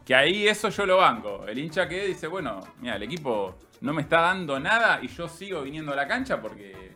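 A man with an Argentine accent hosting a casual sports chat, teasing and animated as he tells stories. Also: fairly high pitch, brisk, soft background music. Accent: Argentine Spanish.

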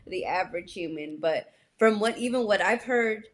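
A woman's voice: medium pitch, moderate pace. A young woman co-hosting a podcast in casual chat, upbeat and slangy, with lots of fillers. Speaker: podcast co-host.

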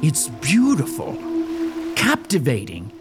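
A man's voice: a little high, huge pitch swings, slow pace, over music. A man narrating a gothic audio drama as a scripted story, in a theatrical, suspenseful manner.

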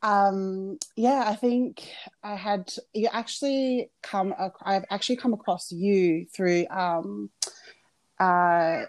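A woman's voice: mid-range voice, fairly even intonation, slow pace, dry recording. A woman hosting a casual podcast interview, chatty and rambling, with lots of fillers and restarts. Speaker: podcast host.

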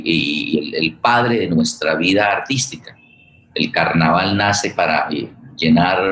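A Colombian man in middle age speaks as a host in a low-pitched voice. He proceeds informally and haltingly, doubling back on phrases while searching for the next word. The recording has a clearly roomy echo around his voice. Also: a bit bright. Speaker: host introducing a guest. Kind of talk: informal spoken introduction on a live forum.

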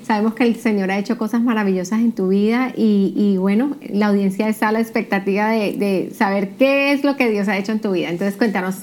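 A woman's voice: mid-range voice, fairly even intonation, a little fast, hardly any pauses, a little mellow. Effusive woman hosting an interview. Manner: effusive, frequent affirmations. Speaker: host.

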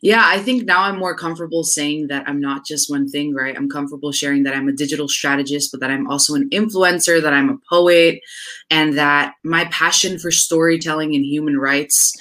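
A young woman from New Jersey speaks as an interview guest. Her voice is notably low for a woman. She tells her story in an articulate, self-assured manner. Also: fairly even intonation, fairly quick, sharp tone. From New Jersey, United States. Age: young adult. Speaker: guest, digital strategist. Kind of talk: interview answers.

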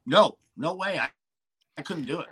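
A man's voice: on the high side, expressive, normal speed, fairly bright tone, breathy edge. A man, a wrestling manager and commentator, chatting casually in a podcast interview with frequent restarts and fillers.

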